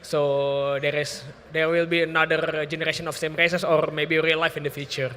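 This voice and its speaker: A man with a bright, fairly high voice, speaking in relaxed, slightly hesitant English. His voice carries a long hall reverb over faint background noise. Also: fairly quick. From Indonesia. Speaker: sim racing competitor. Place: event stage, hall.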